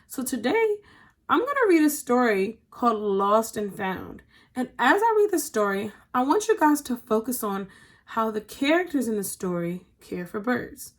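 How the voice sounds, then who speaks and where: A woman with a slightly high voice speaks as a teacher to young pupils. Her intonation is very lively and expressive. The delivery is that of a picture-book read-aloud, clearly aimed at children. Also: normal speed.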